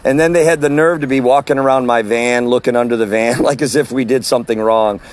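A man, a street preacher with a loud voice, recording an audio message with a phone while walking outdoors at a farmer's market, speaking in a forceful preaching cadence. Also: mid-range voice, steady tone, fast talker, slight background noise.

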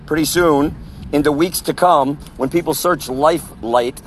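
Loud high-pitched male street preacher. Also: unhurried, slight background noise. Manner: loud, forceful proclaiming. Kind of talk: open-air street preaching. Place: outdoor sidewalk by a street.

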